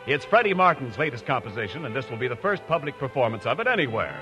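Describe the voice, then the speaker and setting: A man's voice: mid-range voice, sing-song, fast talker, over music. A man announcing on a 1940s radio show in a polished, emphatic manner. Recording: dull lo-fi radio archive.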